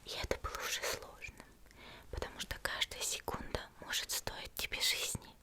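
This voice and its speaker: A woman playing a petite, gentle and elegant female demon slayer in a whispered ASMR roleplay, soft, reassuring and unhurried. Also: lightly acted. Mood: calm, confiding.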